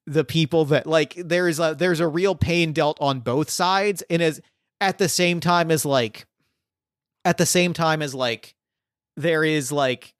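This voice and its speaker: A man's voice: a little high, fairly bright tone, clear, resonant tone, no echo, studio quality. A man hosting a tabletop game podcast, chatting casually after play and rambling with lots of filler words. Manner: rambling, heavy use of "like" fillers. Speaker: game master and podcast host. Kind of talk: casual post-game chat.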